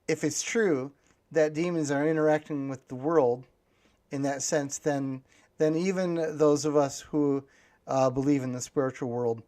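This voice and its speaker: A man's voice: mid-range voice, darkish tone. A man hosting a podcast in a casual, rambling, hesitant way, thinking aloud as he goes. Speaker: podcast host.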